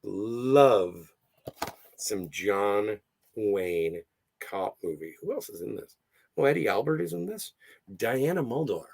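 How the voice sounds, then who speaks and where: A hoarse man hosting a casual livestream, rambling and easily sidetracked as he chats and reads out viewer comments. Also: lowish voice, big pitch swings, takes it slowly, a little mellow. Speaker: livestream host.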